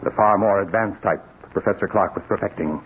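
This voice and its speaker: A man playing an earnest, secretive laboratory assistant in 1940s radio suspense style, speaking in a deliberate, formal way. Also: fairly low pitch, natural voice. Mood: measured, explaining. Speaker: actor in a radio drama. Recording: muffled, noisy 1940s radio recording.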